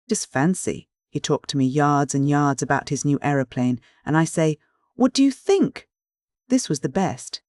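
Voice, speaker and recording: Man voicing an excitable, gushing young girl. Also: a little high, normal speed, no room sound, studio quality, lightly acted. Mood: rapturous. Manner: gushing, exclamatory, pettish. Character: dreamy, excitable, impatient young Welsh girl typist.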